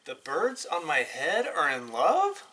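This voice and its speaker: An anxious cartoon elephant, easily exasperated, performed by a man for a picture book. The voice is bright and slow, swinging dramatically through incredulous, emphatic delivery. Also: on the high side, wildly swooping pitch, voice pushed down, heavily acted. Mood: incredulous worry. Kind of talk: animated children's read-aloud.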